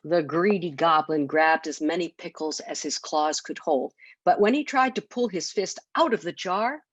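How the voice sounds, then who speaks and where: A woman's voice: deep voice, normal speed, dry recording. A woman reading a children's bedtime story expressively, as a storyteller.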